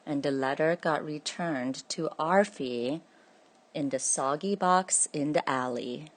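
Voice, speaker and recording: A woman tells a children's picture-book story aloud. Her voice sits a little low and her delivery is extremely slow and spaced out for young listeners.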